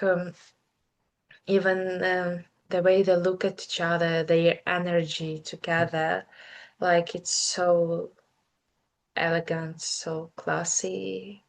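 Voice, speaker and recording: A woman in her twenties with a Ukrainian accent, a native Ukrainian speaker talking as an interview guest in a thoughtful, hesitant way. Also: on the low side. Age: 20s. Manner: thoughtful, hesitant, many fillers and restarts. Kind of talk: casual interview chat.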